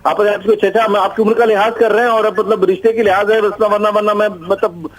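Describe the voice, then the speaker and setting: A man with a high voice protests on a radio prank call. He sounds flustered and agitated as he argues his side. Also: level tone, quick pace, poor audio. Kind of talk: agitated phone conversation.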